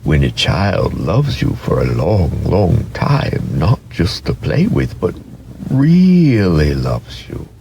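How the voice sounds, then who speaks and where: A wise, very old toy horse from a storybook, played by a man, speaks in a deep voice. The delivery is slow, gentle and patient, like a kindly elder explaining things. There is faint noise behind it. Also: wildly swooping pitch, exaggerated voice.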